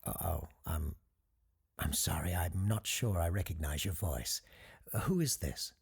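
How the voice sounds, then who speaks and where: An actor playing a courteous parapsychologist who hosts a radio call-in show in an audio drama, gravelly, measured and formal. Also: male voice, low voice, exaggerated voice. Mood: puzzled. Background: music under the voice.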